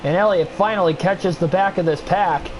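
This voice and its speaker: A young man calls a simulated stock car race like a broadcaster, his nose stuffy and his voice wearing thin. He gets excitable over the action and drops into chatty asides to his viewers. A steady hiss of background noise sits under his home mic. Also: high voice, moderate pace. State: stuffy nose, voice getting worn. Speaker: streamer doing race commentary. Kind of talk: play-by-play sim racing commentary.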